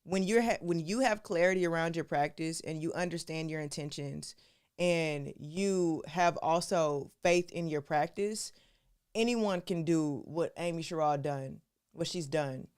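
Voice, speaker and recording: A woman's voice: sits low, measured pace, a little mellow, clean tone. A woman hosting a live stream, talking to her viewers in a casual, chatty way and calling them y'all. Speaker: show host.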